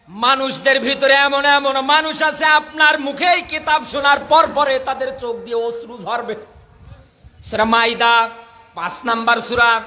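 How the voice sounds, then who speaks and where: A man's voice: very high-pitched, bright, forceful tone. A Bangladeshi man preaching an impassioned Islamic sermon in a declamatory manner, prodding his listeners with questions. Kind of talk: fiery outdoor-rally sermon with Quran recitation. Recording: noisy live public-address recording.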